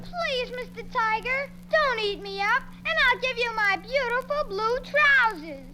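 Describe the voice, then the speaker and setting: A woman doing a squeaky timid little boy. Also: lively intonation, measured pace, low-quality recording. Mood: pleading. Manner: pleading, childlike. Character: small timid boy, sweet and polite. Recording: old storybook record with music.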